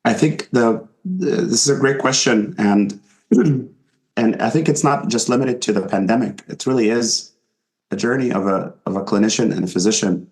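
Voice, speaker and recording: A man from Egypt with a very deep voice answers interview questions. He speaks slowly and thoughtfully, pausing on "um" as he gathers his words. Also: a little mellow. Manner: thoughtful, hesitant, many fillers. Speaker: physician guest. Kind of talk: podcast interview.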